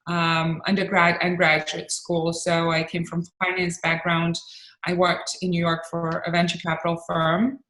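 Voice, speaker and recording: A woman from Bosnia and Croatia, a real estate agent speaking as a guest in an interview, talking in an earnest, measured way and pausing to choose her words. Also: on the low side, fairly bright tone. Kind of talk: interview conversation. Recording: roomy echo.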